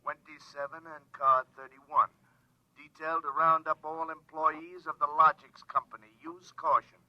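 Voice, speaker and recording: Stern police dispatcher male actor, official and clipped, slightly high voice, muffled vintage radio drama sound. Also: flat delivery, unhurried, slight background noise, natural voice. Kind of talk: 1950s radio drama acting. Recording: dull, dry vintage radio recording.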